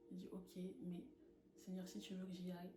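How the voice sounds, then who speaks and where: Femme à la voix grave, pièce écho. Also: female voice, lowish voice, brisk. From France. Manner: earnest and animated, laughing often. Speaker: testimony speaker. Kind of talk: personal faith testimony. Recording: echoey room with noise.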